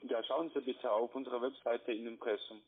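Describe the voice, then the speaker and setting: A non-native man with a foreign accent, speaking haltingly and repetitively as a call centre agent. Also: medium pitch, fairly even intonation, breathy edge. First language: not German. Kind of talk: sales phone call, evasive replies. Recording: tinny narrow phone line.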